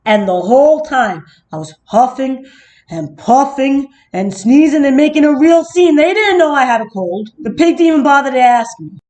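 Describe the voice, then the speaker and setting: Woman acting indignant storybook wolf, wildly animated. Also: high-pitched, normal speed. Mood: aggrieved, pleading. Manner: chatty, dramatic, conspiratorial, exaggerated indignation. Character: male storybook wolf, self-justifying, slyly innocent, indignant narrator. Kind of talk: first-person children's storybook narration.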